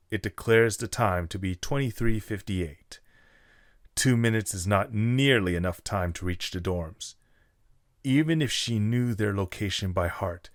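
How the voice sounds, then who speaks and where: A man with a low voice narrates a scripted story. He reads as the narrator of an audio drama, and the sound is dry, with hardly any room on it. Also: no room sound.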